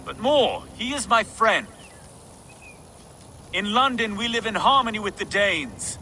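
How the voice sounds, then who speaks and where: An actor playing an earnest Saxon town official with an oratorical manner in a video game. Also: male voice, sits high, expressive, measured pace, pushed and bright, a little hiss or hum, full character voice. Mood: impassioned appeal. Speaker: town reeve. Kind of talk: dramatic video game acting.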